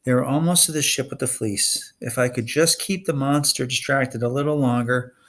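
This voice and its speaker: A man reading a children's fantasy novel aloud in expressive audiobook-style narration. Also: mid-range voice, normal speed. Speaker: reader of a children's fantasy novel read-along.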